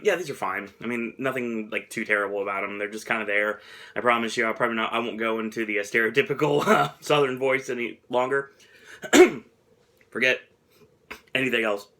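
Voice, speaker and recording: A man's voice: mid-range voice, pushed and bright. A man hosting a casual snack review vlog, rambling and chuckling at himself. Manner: rambling, chuckles often, self-deprecating. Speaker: host.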